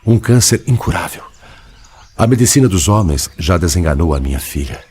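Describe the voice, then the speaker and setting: Male spirit played by a man, low-pitched, sorrowful and resigned, measured dramatic audiobook delivery over background noise. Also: lively intonation, a little mellow. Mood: tender sadness. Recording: clearly noisy background.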